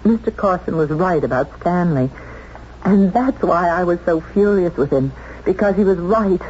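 An old, muffled radio recording features an actress playing a determined, shrewd heroine. Her voice is low and mellow, with intense, deliberate dramatic phrasing from a 1970s radio play. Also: animated, a little hiss or hum, natural voice. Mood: agitated, urgent. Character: determined, shrewd woman accused of murder, confronting the killer.

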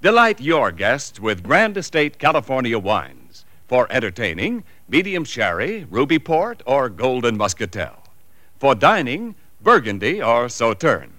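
A man's voice: mid-range voice, huge pitch swings, a little slow, pushed and bright, no room sound. A man with an American accent reading a 1940s radio commercial as a polished, emphatic announcer. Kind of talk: 1940s radio advertisement, scripted.

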